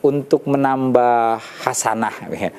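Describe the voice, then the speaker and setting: A man with a dark, mellow voice of middle pitch talks through his ideas on a television studio set. He explains in a patient, measured way, lays his points out as lists, and often restarts a phrase. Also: steady tone, clean tone. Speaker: storyteller guest. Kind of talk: studio talk show explanation.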